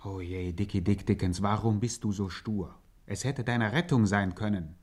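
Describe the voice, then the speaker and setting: An anxious storyteller in a 1950s radio satire. In a lowish voice, he implores and laments with melodramatic urgency, as if pleading straight to the hero. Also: moderate pace, a little mellow, husky.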